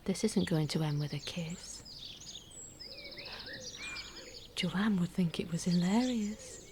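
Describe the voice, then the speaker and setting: A woman's voice: lowish voice, normal speed, noisy background, natural voice. A woman acting a romantic, wry, middle-aged mother who confides her private yearnings in an intimate radio drama monologue. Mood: wistful, intimate. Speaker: actress in a radio play monologue.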